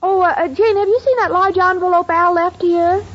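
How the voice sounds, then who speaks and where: Very high girlish voice, dim trusting young woman, earnest, 1940s radio comedy, muffled noisy recording. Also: fairly even intonation, brisk. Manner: earnest, naive, guileless. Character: dim, sweet, literal-minded young woman, excitable and trusting. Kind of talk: 1940s radio sitcom acting.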